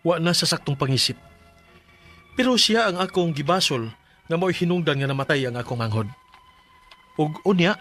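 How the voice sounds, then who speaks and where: Radio drama casting: vengeful protective big brother, high bright voice, faint music underneath. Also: male voice, animated, normal speed, long pauses. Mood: somber recounting. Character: aggrieved protective older brother, hot-tempered and vengeful. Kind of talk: Bisaya radio drama acting and narration.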